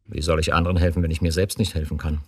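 An actor playing an embittered, weary middle-aged police chief who broods and turns sarcastic in a radio drama. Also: male voice, rumbling low, little pitch movement, rapid-fire, husky, heavily acted. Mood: resentful.

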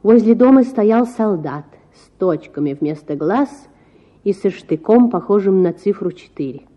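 A woman narrating a children's story from a script in an expressive audiobook style. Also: medium pitch, unhurried, lo-fi sound.

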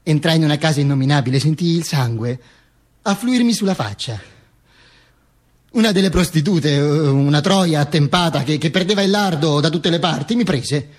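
An actor playing a vain dead dictator in a theatrical monologue, pompous and halting, with stammered repetitions. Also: male voice, on the high side, lively intonation, slightly rough. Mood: wry, reminiscing. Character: vain dead dictator narrating from beyond the grave. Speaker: stage actor performing a monologue.

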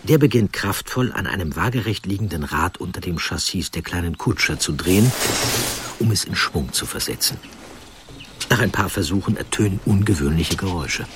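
A man reading a scripted historical narration in a measured, articulate manner. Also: sits low, expressive, noisy background. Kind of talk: scripted documentary storytelling narration. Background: music under the voice.

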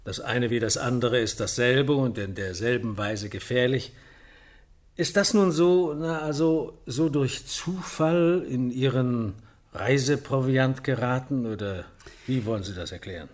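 An actor playing an impatient, sceptical police inspector who questions probingly in a radio drama. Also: male voice, medium pitch, unhurried, lightly acted.

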